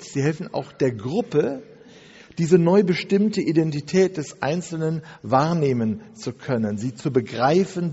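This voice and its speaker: A man lecturing in a measured, methodical way, building his points through piled-up phrases. His voice is dark and a little husky, and it sounds dull as it rings through a hall with long reverb. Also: fairly high pitch. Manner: measured, listing phrases one after another with occasional fillers. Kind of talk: spoken lecture.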